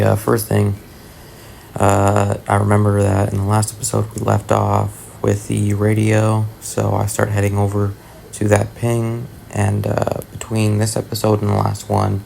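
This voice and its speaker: Male gaming host for casual voice-over: very creaky, raspy, talked-out voice, low-key and hesitant, little pitch movement. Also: completely flat delivery, measured pace, a little mellow, a little hiss or hum. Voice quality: raspy, gravelly. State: tired voice after long talking, throat-clearing. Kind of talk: casual voice-over commentary on gameplay. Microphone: voice-over recorded separately from the footage.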